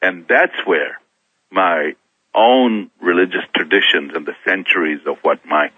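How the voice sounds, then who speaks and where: A middle-aged man from Kenya, a guest on a talk show, telling his own story in a halting way, often restarting his words. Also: low-pitched, husky, dry recording. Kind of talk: personal testimony in a talk show interview. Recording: thin phone-like sound, dry.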